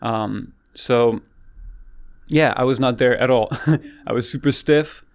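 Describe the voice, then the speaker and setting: A man in his late twenties from France with a light French accent, a yoga teacher talking calmly and softly in a casual interview. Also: mid-range voice, takes it slowly, clean tone. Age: late 20s. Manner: calm, soft-spoken, frequent restarts and laughs.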